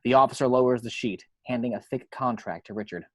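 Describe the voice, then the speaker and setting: A man's voice: mid-range voice, fast talker, no echo. A man narrating screenplay action lines at an amateur table read in a matter-of-fact storytelling way.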